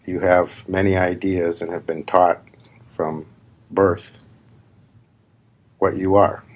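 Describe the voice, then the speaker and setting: A man leading a guided meditation as a spiritual teacher, speaking in deliberate, emphatic, repeated phrases with long pauses. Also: low voice, flat delivery. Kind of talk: slow guided meditation talk. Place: live gathering.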